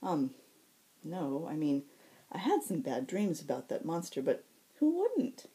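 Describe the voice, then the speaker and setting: A woman's voice: sits low, huge pitch swings, a little slow, warm tone, exaggerated voice, poor audio. A woman voicing a blunt, stubborn young man with a casual, slangy way of talking, in an audiobook story. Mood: sheepish. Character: young male patient, blunt, casual, stubborn, concerned friend.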